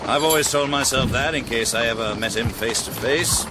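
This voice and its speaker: An actor playing a stern, dryly commanding British general in a radio drama, with an upper-class English accent and clipped delivery. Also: male voice, medium pitch, normal speed, noisy background, natural voice. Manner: clipped, authoritative.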